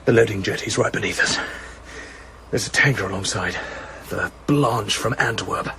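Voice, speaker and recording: An actor playing a tough, grimly determined British spy in a radio drama, speaking tersely and urgently. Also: male voice, on the low side, normal speed, bright, forceful tone, slight background noise. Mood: tense, urgent.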